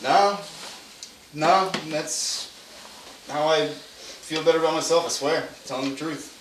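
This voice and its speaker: A man's voice: a little high, takes it slowly. A young man playing a petty, gossipy guy who mocks others in a rambling, emphatic way, in an amateur stage play. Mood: petty, insistent. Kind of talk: amateur one-act stage play dialogue. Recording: echoey room, distant mic, hissy noise.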